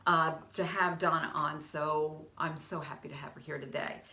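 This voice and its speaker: A woman's voice: deep voice, steady tone, normal speed, bright, forceful tone. A woman hosting a talk show, interviewing a guest in a chatty way with small laughs. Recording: roomy echo.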